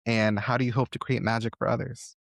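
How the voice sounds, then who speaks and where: A man's voice: mid-range voice, level tone, brisk, vocal fry, no room sound, studio quality. A man hosting a conversational interview, speaking in an encouraging way and offering generous praise to his guest. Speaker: podcast host interviewing a guest.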